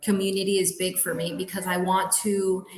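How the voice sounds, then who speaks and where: A woman's voice: mid-range voice, little pitch movement, moderate pace. Colombian woman in her 30s, spanish is her first language, earnest, lots of restarts, sounds like an echoey room. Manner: earnest, laughs often, restarts sentences. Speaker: guest, realtor. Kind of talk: casual interview talk.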